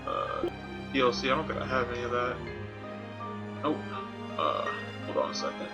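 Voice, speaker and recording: A man's voice: medium pitch, sing-song, normal speed. A man giving casual video game stream commentary, muttering to himself in an offhand way. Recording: game music behind the voice. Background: game music.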